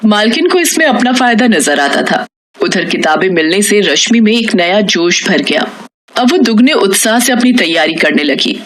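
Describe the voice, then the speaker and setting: A woman's voice: mid-range voice, expressive, moderate pace. A woman storyteller reading a scripted moral tale in narration style.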